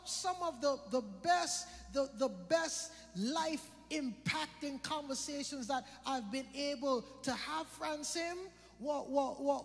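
Brief: male preacher, very high voice, chatty and joking, echoing hall with soft music underneath. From British Virgin Islands. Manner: conversational, joking, repeats phrases for emphasis. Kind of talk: sermon. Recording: long hall reverb with faint music.

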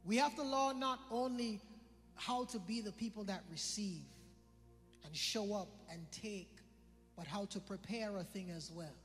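High-voiced preacher in a reverberant hall. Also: male voice, unhurried, a bit bright. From British Virgin Islands. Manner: conversational, joking, repeats phrases for emphasis. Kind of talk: sermon. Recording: long hall reverb with faint music.

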